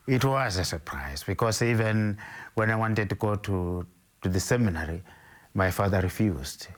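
An older Zimbabwean man with a very low, deep voice. He speaks slowly and carefully in an interview, often pausing and restarting his sentences as he recalls his past. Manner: measured, hesitant, with frequent restarts. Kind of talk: interview, recounting his life.

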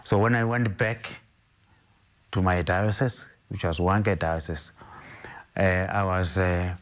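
An older Zimbabwean man with a very low, deep voice. He speaks slowly and carefully in an interview, often pausing and restarting his sentences as he recalls his past. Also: fairly even intonation. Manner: measured, hesitant, with frequent restarts. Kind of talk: interview, recounting his life.

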